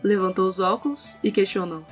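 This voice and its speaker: A woman's voice: mid-range voice, brisk. A woman with a Brazilian accent reading scripted narration for an audio novel. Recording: music under the voice.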